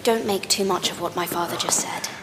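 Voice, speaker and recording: A woman playing a dutiful young actress, the director's daughter, with a soft, hesitant, conciliatory manner. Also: lowish voice, fairly even intonation, fairly quick, natural voice. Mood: timid, gentle. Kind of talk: scripted audio drama. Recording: reverberant hall, faint background noise.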